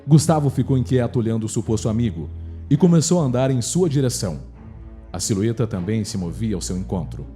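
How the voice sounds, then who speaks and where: Mellow Brazilian man narrating horror. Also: medium pitch, lively intonation, fairly quick. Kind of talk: scripted horror story narration. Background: faint music.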